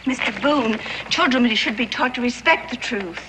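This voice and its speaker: Fretful, proper pioneer mother woman acting, high voice, overprotective, noisy vintage TV western soundtrack. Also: moderate pace, natural voice. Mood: scolding. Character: prim, anxious, overprotective frontier mother.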